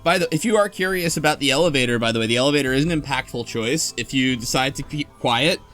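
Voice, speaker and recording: A man's voice: medium pitch, quick pace, a bit bright, soft background music. A man hosting a casual group discussion, explaining things in an easily amused, conversational way. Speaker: podcast host.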